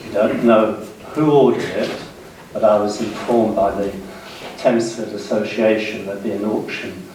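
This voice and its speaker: A man in his sixties with a British accent gives a public history lecture in a measured way, pausing between short phrases. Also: on the low side, fairly even intonation, a crowd in the background. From United Kingdom, west of London. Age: 60s. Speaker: guest lecturer. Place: indoor seminar room, speaking to an audience. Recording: very echoey room, distant mic, faint noise.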